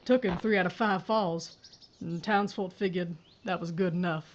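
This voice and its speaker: A woman's voice: on the low side, steady tone, moderate pace, lo-fi sound, lightly acted. A woman playing a blunt sheriff. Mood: steely. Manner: terse, dry, gritty. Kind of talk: audio drama acting.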